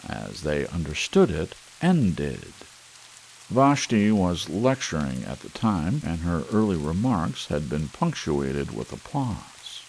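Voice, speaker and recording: A man narrating a story as a sleep audiobook in a measured, dramatic literary style with deliberate pauses. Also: sits low, rough recording. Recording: clear steady rain hiss behind voice. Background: rain sounds.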